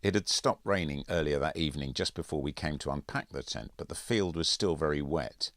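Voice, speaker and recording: Very low-voiced man reading his story. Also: brisk, fairly bright tone, dry recording, studio quality. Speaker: author reading own audiobook. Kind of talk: scripted first-person literary narration.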